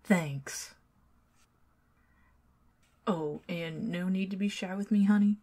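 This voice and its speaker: A woman with a Southern American accent doing an ASMR roleplay as a chatty, teasing neighbor who talks to the listener and drifts into soft whispers. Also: mid-range voice, slow pace. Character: friendly talkative young woman neighbor.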